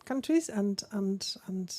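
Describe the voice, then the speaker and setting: A woman's voice: medium pitch, animated, slow, warm tone, dry recording. A woman moderating a policy workshop session, friendly and rambling, with frequent restarts. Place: conference room.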